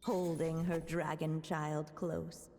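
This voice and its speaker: Storybook game narrator: gentle witch mother, lowish voice, very measured and solemn, faint ambience behind. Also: level tone, slight background noise.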